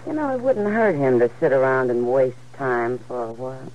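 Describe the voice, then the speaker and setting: A woman's voice: extremely low pitch, expressive, moderate pace, darkish tone, muffled recording. An American actress playing a friendly, worldly frontier saloon woman in a 1950s radio Western. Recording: faintly noisy 1950s radio recording.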